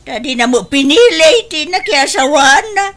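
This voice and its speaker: A woman's voice: high voice, sing-song, moderate pace, fairly bright tone. A woman playing a nagging, disapproving mother in a radio drama, with an Ilocano accent and grumbling, sighing interjections.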